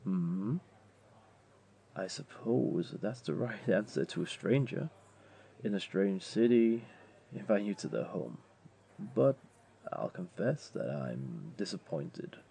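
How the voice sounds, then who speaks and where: A man's voice: low voice, sing-song, slow, gravelly, warm tone, husky, full character voice. A man playing a wealthy, flirtatious jaguar beastling in a comforting audio roleplay, tender and teasing, with a coaxing, purring delivery. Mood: insistent, generous.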